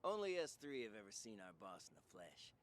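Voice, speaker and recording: A man's voice: on the low side, wildly swooping pitch, fairly quick. A man playing a brash, scornful gangster in an audio drama. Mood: scornful, sly.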